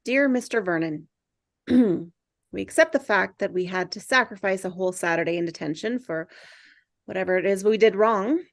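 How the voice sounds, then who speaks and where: A woman's voice: medium pitch, normal speed, vocal fry, no room sound, studio quality, close to an everyday voice. A woman reading the part of an earnest, mildly defiant teenage boy nerd in a film script table read.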